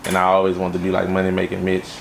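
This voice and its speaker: Low gravelly laid-back young man. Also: completely flat delivery, very fast, a little hiss or hum. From Los Angeles, raised in Baton Rouge, Louisiana. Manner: laid-back, many "like" fillers and restarts. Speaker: music video director, interviewee. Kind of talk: casual interview answers.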